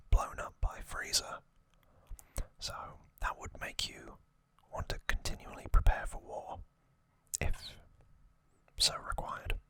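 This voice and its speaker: An ASMR host speaks entirely in a bright, sibilant whisper. The delivery is slow and level, with soft, breath-only words set apart by frequent pauses, like a gently paced trivia recap.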